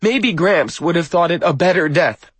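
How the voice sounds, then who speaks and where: A defiant young woman, acted out by a male narrator, pitched well above his usual voice and pushed louder. She is bitter and accusing, declaiming like a practised speech in an audiobook, with very clean, dry sound. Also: animated, unhurried, no room sound. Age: young adult.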